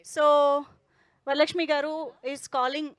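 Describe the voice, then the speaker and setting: A woman's voice: sits high, steady tone, slow pace, no echo. A woman hosting a film promotion, interviewing guests in a conversational style.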